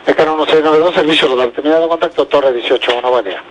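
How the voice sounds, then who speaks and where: Fast Mexican male air traffic controller on radio. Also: on the high side, poor audio. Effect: aviation radio. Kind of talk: clipped radio phraseology.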